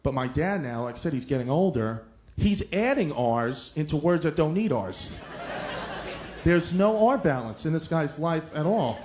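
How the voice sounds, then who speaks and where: A man's voice: on the high side, animated, moderate pace. A man doing a stand-up set, telling family stories in an exasperated way. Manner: exasperated storytelling about family. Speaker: stand-up comedian. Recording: noisy live recording.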